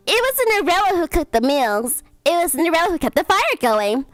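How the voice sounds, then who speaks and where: High-pitched, cutesy woman streamer. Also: very animated, normal speed, dry recording. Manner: cutesy, gushing. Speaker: streamer, host. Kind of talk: livestream chat and bedtime story reading.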